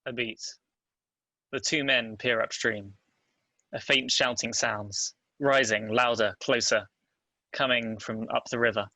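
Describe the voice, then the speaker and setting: A man reading stage directions as scripted dramatic narration, in a measured, terse and deliberate way. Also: medium pitch, fairly even intonation, pushed and bright, no room sound.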